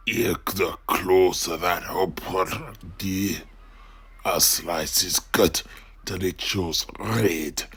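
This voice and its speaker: A man playing an earnest, slow-witted warrior noble in comic mock-Shakespearean style, gruff and halting. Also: low voice, bright, forceful tone, heavily acted. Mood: vengeful boasting. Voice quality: gruff, husky. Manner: ponderous, halting.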